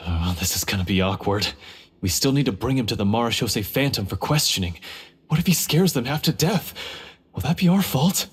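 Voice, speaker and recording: An actor playing a plain-spoken prison guard in a video game, informal and blunt. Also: male voice, medium pitch, animated, fairly quick, slightly rough. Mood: worried, chatty.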